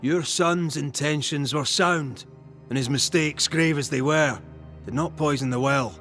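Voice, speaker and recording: An actor playing a weary, blunt ghost hunter in a video game, stern and accusing, with measured, emphatic delivery. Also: male voice, on the high side, a bit bright, soft background music. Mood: grave, explaining. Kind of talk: dramatic video game acting.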